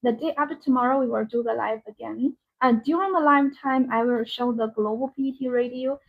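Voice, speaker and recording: A woman's voice: fairly high pitch, creaky. Tinny-sounding woman hosting a live sales stream. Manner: halting, repetitive non-native English phrasing. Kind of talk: live product demonstration and sales pitch.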